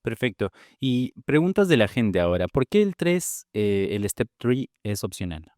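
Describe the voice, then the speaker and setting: A man hosting a podcast, asking brisk questions and reading out listeners' questions. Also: mid-range voice, very animated, a little mellow, no echo, studio quality. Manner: brisk, reads out audience questions. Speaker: podcast host, interviewer. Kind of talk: interview questions.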